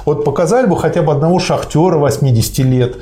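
Man, warm dark tone, measured reading, dry asides, easy conversational pace. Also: on the high side. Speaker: discussant reading a text aloud. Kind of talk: reading aloud and commenting.

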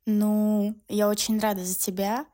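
A woman in her twenties chatting casually as a podcast co-host, laying out her views in measured steps. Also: medium pitch, level tone, warm tone. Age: 20s.

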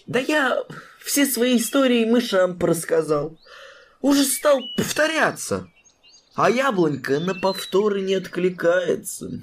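A woman voicing a drowsy, sly male cat, talking in a slow drawl with teasing squeaky rises, in a children's audio drama. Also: big pitch swings, slight background noise. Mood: dreamy.

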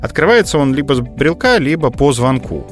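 A man's voice: medium pitch, very animated, fairly quick, soft background music. A man co-hosting a podcast, telling things casually in a hesitant, digressive way full of fillers. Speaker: podcast co-host. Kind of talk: casual conversational storytelling.

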